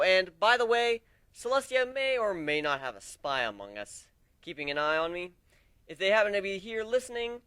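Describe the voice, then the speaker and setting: A man's voice: on the high side, bright, forceful tone, no echo. A man narrating a first-person story as a dramatic reading.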